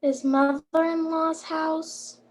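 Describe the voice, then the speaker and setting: A young woman voicing a naive, wide-eyed guardian angel in a radio play, with drawn-out exclamations. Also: very high voice, flat delivery, soft background music. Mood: amazed. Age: young adult. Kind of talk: 1940s radio drama acting.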